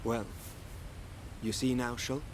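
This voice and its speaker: An actor with a British accent playing a composed, cryptic young seer in a video game, speaking in a measured manner. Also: male voice, medium pitch, flat delivery, background noise, natural voice. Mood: calm. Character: calm, enigmatic young male seer who knows much.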